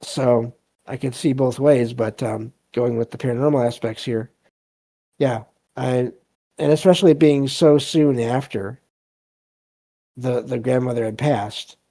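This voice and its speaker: A man hosting a podcast, reading stories aloud and commenting casually in a halting, reflective way. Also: medium pitch, fairly even intonation, mellow. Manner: halting, hesitant, reflective delivery. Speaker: podcast host.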